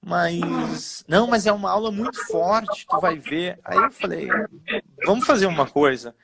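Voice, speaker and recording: A man's voice: sits high, a little slow, creaky, crowd noise. A man who works as a personal trainer, telling his story casually and acting out the dialogue. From Brazil. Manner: animated storyteller, acts out dialogue.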